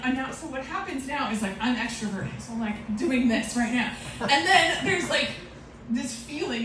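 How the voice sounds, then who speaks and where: A woman's voice: sits high, background noise. A woman whose first language is Romanian tells a comic story on stage, rambling, swearing and laughing at herself, recorded from a distant mic in an echoey live room. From Romanian family. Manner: rambling, swearing, self-mocking, laughing often. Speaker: comedic storyteller. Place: live performance venue with audience.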